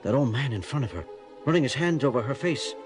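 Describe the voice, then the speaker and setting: Man playing an animated, worried space crewman. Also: medium pitch, fast talker, lightly acted. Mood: uneasy, hushed. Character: concerned, watchful male space crewman. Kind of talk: 1970s audio drama acting. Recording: music behind the voice.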